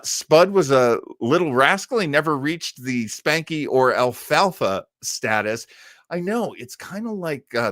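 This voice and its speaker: Male live-stream host, chatty and informal, mid-pitched with very varied intonation, keen commentary style. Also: fairly bright tone, dry recording, clean studio sound. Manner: enthusiastic, chatty, rambling. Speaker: host of a live vintage radio show stream.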